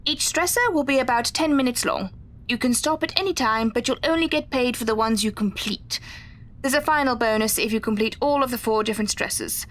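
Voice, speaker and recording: A woman playing a polite, composed psychology researcher in an audio drama, explaining things in a formal, measured way. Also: a little high, a bit bright, natural voice. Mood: formal, explanatory.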